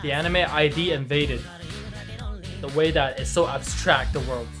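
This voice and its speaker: A hoarse man reacting to anime endings, his voice worn from screaming. He exclaims loudly and talks straight to his viewers, with faint music playing behind him. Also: fairly high pitch, moderate pace. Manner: excitable, exclaiming and talking to viewers. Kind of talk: reaction video commentary.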